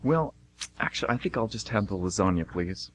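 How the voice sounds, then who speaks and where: A man's voice: on the low side, lively intonation, a little fast, a little hiss or hum, close to an everyday voice. A man playing a casual but guarded man in his twenties in an amateur radio play.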